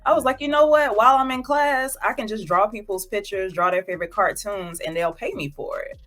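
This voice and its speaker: Relaxed, chatty female artist guest. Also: medium pitch, a little fast, faint music behind. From Georgia, United States. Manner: relaxed, chatty storytelling, casual slang. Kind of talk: casual interview.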